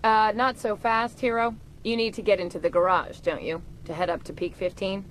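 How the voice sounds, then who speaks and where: A woman's voice: mid-range voice, little pitch movement, normal speed, close to an everyday voice. A woman playing a sardonic, businesslike undercover corporate investigator in a video game. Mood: cocky. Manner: wry, businesslike.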